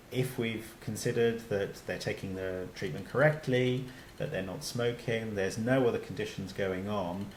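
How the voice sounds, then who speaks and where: A man with a lowish voice delivers a recorded medical lecture over slides. He talks in a relaxed, conversational way, often pausing on fillers and restarting phrases. The sound is roomy, with faint noise behind. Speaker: doctor giving a lecture.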